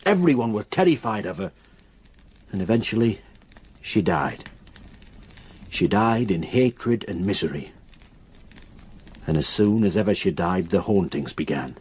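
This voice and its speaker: An actor playing a grave, reluctant local countryman in a radio drama, with a solemn, halting way of telling a dark story. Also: lowish voice, big pitch swings, slightly rough, exaggerated voice. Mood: haunted, ominous.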